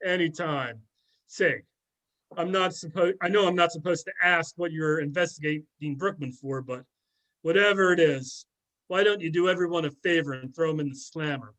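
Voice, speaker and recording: A man's voice: on the high side, normal speed, fairly bright tone, lightly acted, rough recording. A man playing a chatty, nosy witness with a grudge in an amateur readers theater, informal and forward. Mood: eager. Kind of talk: amateur readers theater reading over videoconference.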